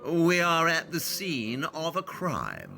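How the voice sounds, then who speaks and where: An arrogant detective in an audio drama, aloof and superior. His speech is clipped and lively in pitch, with a bright, forceful tone and faint music underneath. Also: takes it slowly, vocal fry, heavily acted. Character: brilliant, arrogant English male detective.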